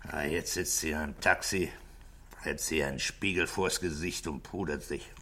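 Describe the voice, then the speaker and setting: An actor playing a shrewd middle-aged police inspector in a 1960s radio drama, speaking with deliberate, insistent questioning. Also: male voice, extremely low pitch, sharp tone, a little hiss or hum. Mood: calm, resigned. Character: shrewd male police inspector, methodical, grave, probing. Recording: vintage 1960s radio studio recording.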